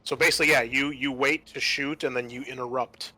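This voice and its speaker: A man's voice: mid-range voice, steady tone, brisk, pushed and bright. A man acting as game master, narrating scenes and explaining rules in a conversational way over background music. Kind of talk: narrating and ruling a tabletop roleplaying game.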